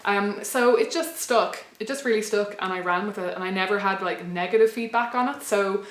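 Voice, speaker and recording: A young woman with an Irish accent talks through a personal story in a loose, reflective way, doubling back and restarting as she thinks. Her voice sits at a middling pitch. The room around her is noticeably roomy, with echo off the walls. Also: fairly quick. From Ireland. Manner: reflective, rambling with frequent restarts and fillers. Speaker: pagan blogger and vlogger. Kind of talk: casual personal storytelling to camera.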